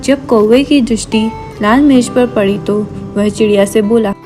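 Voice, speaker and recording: Casting: female storyteller for a children's fable, fairly high voice, scripted read, clear music bed. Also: moderate pace. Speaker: narrator. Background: background music.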